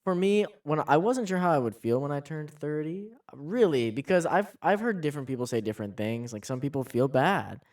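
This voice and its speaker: A man's voice: a little high, expressive, fairly quick, hardly any pauses, a little mellow, recorded from a distance. Man of thirty, a bit off-mic. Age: 30s. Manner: musing, talks in starts and restarts. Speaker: podcast co-host. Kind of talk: casual chat.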